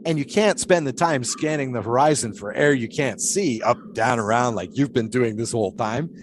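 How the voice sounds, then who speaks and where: An actor playing a pious, philosophical 1920s flagpole sitter who talks in a folksy, preachy way. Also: male voice, mid-range voice, moderate pace, background noise, close to an everyday voice. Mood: musing. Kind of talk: audio drama acting.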